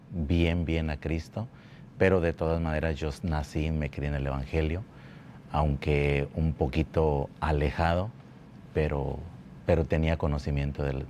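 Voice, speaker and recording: A man from Mexico telling his life testimony at a church, speaking slowly and haltingly, often repeating words. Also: extremely low pitch, a little mellow, slight background noise. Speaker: guest giving testimony. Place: church building.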